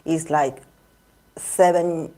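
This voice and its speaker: A woman chats easily with her livestream viewers in English. Her voice sits a little low, and she often repeats or restarts words as she talks. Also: steady tone, extremely slow, dark tone. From Argentina. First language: Spanish. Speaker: tarot reader livestream host. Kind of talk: casual livestream chat with viewers.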